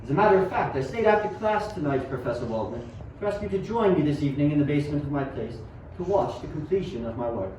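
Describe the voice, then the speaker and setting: A man's voice: fairly high pitch, expressive, quick pace, darkish tone, natural voice. A young man acting an earnest, obsessive 19th-century scientist in an amateur radio play, with dramatic, formal delivery. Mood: polite, eager. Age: young adult. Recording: very echoey, distant, dull, faint noise.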